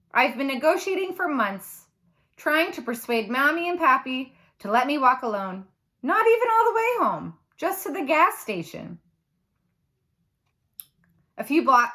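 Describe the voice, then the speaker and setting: A woman reading a children's novel aloud like a teacher, with animated, dramatic emphasis. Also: sits high.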